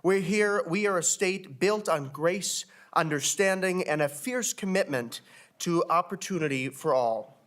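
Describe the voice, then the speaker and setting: A man's voice: high voice, measured pace, fairly bright tone. A young man from Minnesota, a student leader, giving earnest prepared testimony into a desk microphone at a legislative hearing. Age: young adult. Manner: earnest, formal, speechlike.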